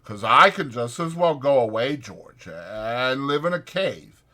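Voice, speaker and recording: A man voicing a big, simple-minded, childlike ranch drifter who speaks slowly and haltingly, eager and pleading, in an audiobook. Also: mid-range voice, expressive, pushed and bright, pitched above the performer's own voice. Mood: wistful. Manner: slow, halting, emphatic speech.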